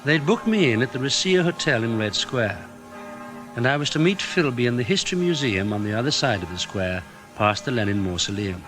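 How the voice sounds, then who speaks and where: An actor playing a matter-of-fact intelligence officer in a radio drama, plain-spoken and reflective. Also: male voice, medium pitch, animated, brisk, over music, natural voice. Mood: reflective, uneasy. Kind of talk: radio drama acting, first-person narration.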